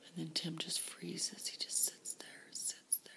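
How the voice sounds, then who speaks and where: Whispering woman with a gravelly voice. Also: on the low side, monotone, sharp tone. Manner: halting, repeats words. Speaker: vlogger. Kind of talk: personal video diary. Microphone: camera facing her.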